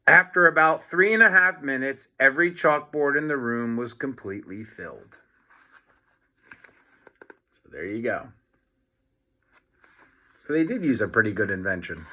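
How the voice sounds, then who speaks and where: A man reading a children's book aloud in an expressive, deliberate way, with short explanatory asides. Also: medium pitch. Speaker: storybook reader.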